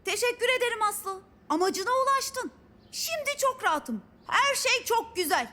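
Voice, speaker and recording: A woman's voice: extremely high pitch, expressive, normal speed, sharp tone, heavily acted. A woman voicing a bookish, long-suffering young boy in a children's cartoon, earnest and precise in his speech. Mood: exasperated, dry. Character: bookish, exasperated young boy wanting peace and quiet. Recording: music behind the voice.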